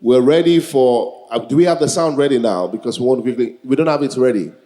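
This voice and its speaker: A Nigerian man in his early forties works as master of ceremonies at a live event. His voice is warm and relaxed in tone, at an average male pitch. He improvises, jokes, repeats himself and puts questions to the crowd. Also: quick pace. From Lagos, Nigeria. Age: early 40s. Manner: joking, repetitive, rhetorical questions to the crowd. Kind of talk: live stage hosting with improvised banter.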